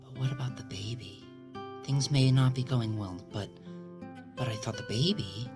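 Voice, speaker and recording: Audio drama wife, played by a man: pitched up, anxious, disbelieving, halting, guitar music behind. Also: close to an everyday voice. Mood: anxious, pleading. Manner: halting, repeating words. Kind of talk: dramatic audio fiction dialogue.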